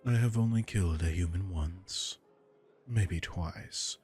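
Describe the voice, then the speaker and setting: A man voicing a suave aristocratic male vampire lord in an ASMR roleplay, smooth and teasing. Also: low-pitched, slow pace, darkish tone, soft background music, full character voice. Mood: smooth menace.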